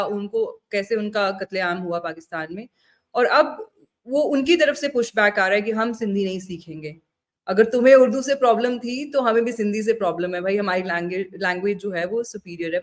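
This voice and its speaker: A woman with an Indian accent and a slightly high-pitched voice hosts an interview. She talks in a conversational way about serious matters. English words are mixed into her speech. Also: a bit bright. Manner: mixes Hindi-Urdu with English words. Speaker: interview host. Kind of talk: conversational political interview, long questions.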